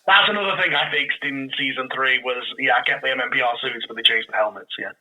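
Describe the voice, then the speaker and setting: A man talking casually and enthusiastically as a podcast guest, explaining things in an animated, rambling way. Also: medium pitch, fast talker, poor audio. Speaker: podcast guest, audio drama creator. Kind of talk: casual, enthusiastic fan chat.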